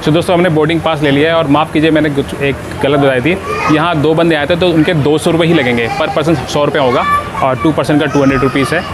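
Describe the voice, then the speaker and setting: A man's voice: on the high side, steady tone, fast talker, a crowd in the background, background noise. A man in his thirties filming a casual walking-tour vlog on a handheld phone, chatting to his viewers and explaining prices as he moves between a parking lot and a restaurant. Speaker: travel vlogger. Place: outdoor parking lot and airplane restaurant interior. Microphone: handheld phone camera, selfie framing.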